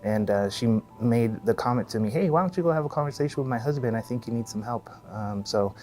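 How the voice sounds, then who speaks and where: A man's voice: mid-range voice, expressive, fast, mellow, faint music behind. A middle-aged man from Texas with an American accent, giving casual interview answers as a physical therapist. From El Paso, Texas, USA.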